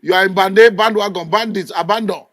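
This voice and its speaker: Nigerian pastor man preaching, high-pitched and punchy, dead dry sound. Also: brisk, fairly bright tone, dry recording. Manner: emphatic, scolding, mimics people in quotes. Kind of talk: sermon.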